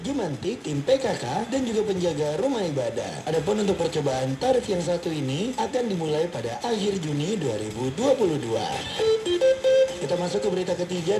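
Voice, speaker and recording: A radio news presenter, reading a scripted bulletin aimed at young listeners. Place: captured in a room from a radio's speaker. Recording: radio aircheck from a boombox speaker, roomy, noisy.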